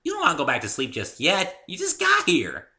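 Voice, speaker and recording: Man putting on a high, perky girl cartoon pony. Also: huge pitch swings, fairly quick, bright, forceful tone, exaggerated voice. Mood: cheerful, urging. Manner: bubbly, exclaiming, playfully teasing. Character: perky, silly, bubbly female cartoon pink pony. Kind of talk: dramatic story reading.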